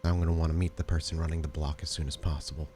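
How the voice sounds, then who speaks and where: A man voicing a guarded, blunt female dance teacher in a tabletop game, with deliberate, formal phrasing. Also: very deep voice, level tone, warm tone, slight background noise. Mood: businesslike.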